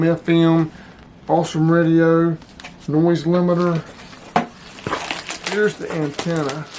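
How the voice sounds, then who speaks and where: A man's voice: a little high, slight background noise. A man giving a casual, plain-spoken product review as the host, talking informally to his viewers. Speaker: channel host reviewing radio gear.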